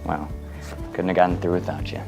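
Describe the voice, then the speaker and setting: A man's voice: low voice, little pitch movement, a little fast, gravelly, over music. A man acting a sardonic brother in a scripted radio ad, teasing dryly. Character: sardonic cancer survivor teasing his brother. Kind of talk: scripted radio advertisement dialogue.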